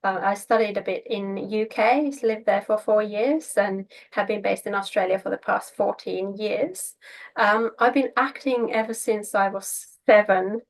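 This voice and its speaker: Creaky-voiced Finnish woman in an interview. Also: medium pitch, steady tone, a little slow. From Finland. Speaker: interview guest, filmmaker and actor. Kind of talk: casual interview talk.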